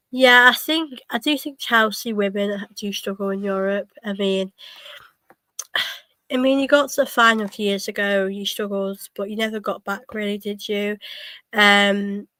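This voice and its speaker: A woman's voice: medium pitch, pushed and bright, dry recording, low-quality recording. A young woman with a cold hosting a fan watchalong, talking through the match casually with lots of ums and restarts. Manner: hesitant, many ums and restarts, chatty. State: has a cold, coughing. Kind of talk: casual live match commentary.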